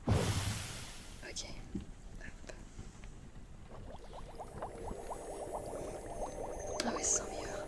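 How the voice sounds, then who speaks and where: A woman's voice: fairly high pitch, close to an everyday voice. A woman playing a chatty, mischievous teenage witch student in a whispered ASMR roleplay, talking in a casual, confiding way. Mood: absorbed, muttering. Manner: hushed, casual, slangy, confiding.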